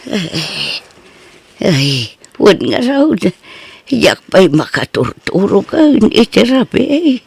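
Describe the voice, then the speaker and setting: An ailing old Ilocano village woman, voiced by an actress for radio drama. Her speech drags very slowly and cracks with gravelly fry. She sounds weary, her pitch wandering up and down. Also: big pitch swings, dry recording, full character voice. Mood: weary, complaining. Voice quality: raspy, creaky.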